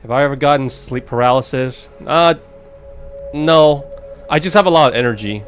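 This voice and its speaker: Man, mid-pitched, casual let's play commentary, chatty, thinking aloud, faint music behind. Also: normal speed. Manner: chatty, musing aloud, reacting to game and chat. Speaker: streamer.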